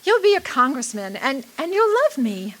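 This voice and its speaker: A woman performs a devoted young sweetheart in a radio romance. Her voice is high and swoops expressively, tender and wistful, with a teasing streak. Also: very animated, moderate pace, fairly bright tone, poor audio. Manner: wistful, sentimental delivery. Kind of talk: radio drama acting.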